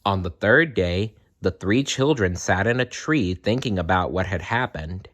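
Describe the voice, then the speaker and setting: A male storyteller reads scripted children's narration aloud. His voice sits a bit low and moves widely in pitch. He takes his time and delivers each line with dramatic expression. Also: expressive, measured pace, fairly bright tone.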